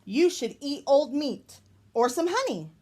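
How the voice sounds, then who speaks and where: Woman putting on a high, singsong animal friend. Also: very animated, unhurried, a little mellow. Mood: eager urging. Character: cheerful nosy animal friends of a monkey.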